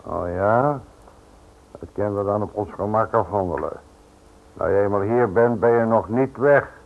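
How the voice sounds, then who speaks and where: A man's voice: fairly low pitch, moderate pace, lightly acted. An actor playing a coolly threatening, sardonic security man in a radio play, speaking without hurry. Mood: coolly menacing. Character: menacing, coolly commanding security-firm heavy. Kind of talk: Dutch radio play acting. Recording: tinny, band-limited old radio recording.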